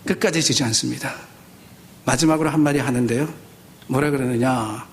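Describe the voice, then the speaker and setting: A man's voice: medium pitch, moderate pace, a little hiss or hum. A middle-aged man from South Korea giving a sermon as a pastor, speaking conversationally and posing questions to his listeners. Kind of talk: Sunday sermon with personal anecdotes.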